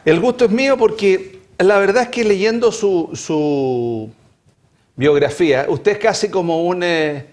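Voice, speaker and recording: A man's voice: high-pitched, animated, unhurried, darkish tone. A man hosting a TV interview, asking long questions full of fillers.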